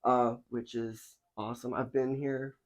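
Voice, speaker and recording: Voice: medium pitch, little pitch movement, measured pace. A podcast host chatting casually and enthusiastically, rambling with many fillers, recorded indoors at a spiritual center. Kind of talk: casual interview chat. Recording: dull lo-fi recording.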